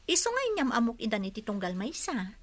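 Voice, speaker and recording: A woman's voice: a little high, very animated, fast talker. A woman playing an earnest, respectful young adult daughter in an Ilocano radio comedy drama. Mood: apologetic, earnest.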